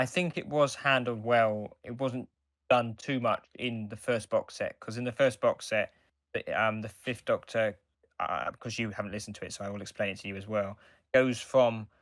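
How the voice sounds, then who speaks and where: A man co-hosting a casual podcast discussion, explaining in a halting, rambling way with restarts. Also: mid-range voice, pushed and bright, no echo, clean studio sound. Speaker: podcast co-host.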